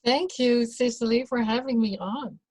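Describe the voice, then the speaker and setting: A woman from the Netherlands, a doula, talking as an interview guest in a slow, hesitant way with many fillers and restarts. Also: on the high side, darkish tone, slightly rough, dry recording. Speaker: guest, birth and rebirth doula. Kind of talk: casual conversational interview.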